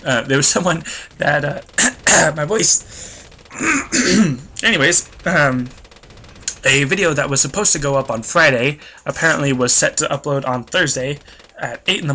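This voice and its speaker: Bright-voiced rambling young gamer guy. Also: mid-range voice, slight background noise. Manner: rambling, loses train of thought, self-deprecating. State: stuffy nose, voice a bit worn. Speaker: let's play host. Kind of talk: rambling gameplay commentary.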